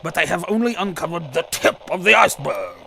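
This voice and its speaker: An actor playing a nervous, fussy scientist informant in a radio drama, stammering and formally precise. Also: male voice, on the high side, expressive, brisk, gravelly, bright, forceful tone, full character voice. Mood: anxious, stammering. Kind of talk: old-time radio drama acting.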